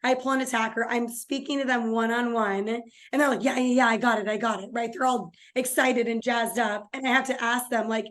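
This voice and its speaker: Hoarse woman lacrosse coach, higher voice. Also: fairly even intonation, a little fast, few pauses, fairly bright tone. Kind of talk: casual video chat conversation.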